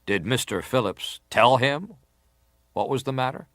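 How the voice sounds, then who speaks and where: An actor playing a formal, persistent senator who questions a witness deliberately in a dramatised hearing. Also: male voice, mid-range voice, lively intonation, fairly bright tone, dry recording. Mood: probing. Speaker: interrogator at a hearing. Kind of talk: dramatised reading of hearing testimony.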